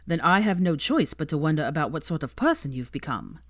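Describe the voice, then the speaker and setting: A woman's voice: low-pitched, big pitch swings, brisk, no room sound, clean studio sound. A woman voicing a dour, dismissive man with a weary, reluctant manner in a storybook reading. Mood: disapproving.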